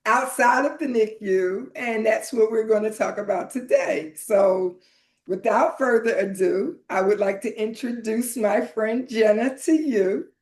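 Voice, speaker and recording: A middle-aged or older woman hosting a podcast, talking informally and haltingly as she introduces and interviews a guest. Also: fairly high pitch, darkish tone. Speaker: podcast host.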